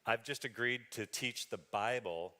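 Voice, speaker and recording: A man's voice: medium pitch, unhurried, sharp tone. A man from Western Canada giving a conversational sermon as a guest speaker, self-deprecating and often laughing.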